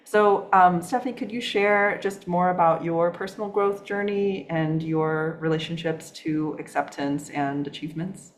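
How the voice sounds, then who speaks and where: A woman's voice: fairly low pitch, normal speed, few pauses. A woman hosting a podcast, introducing a guest question in a hesitant way with many fillers. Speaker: podcast host. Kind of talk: casual interview talk.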